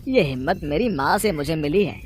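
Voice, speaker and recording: A woman's voice: deep voice, lively intonation, brisk, darkish tone, a little hiss or hum. Woman voicing an earnest young son. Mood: sincere. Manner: earnest, emphatic. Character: earnest, dutiful, protective young son, a student. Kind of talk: Hindi animated moral story voice acting.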